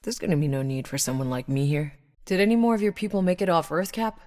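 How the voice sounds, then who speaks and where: Woman acting low-voiced, hard-bitten female soldier. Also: quick pace, a little mellow, dry recording, clean studio sound, close to an everyday voice. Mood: weary, wry, then dry, teasing. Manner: blunt, wry, swearing. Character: tough, sardonic, battle-hardened female soldier, game protagonist. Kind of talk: video game character voice lines.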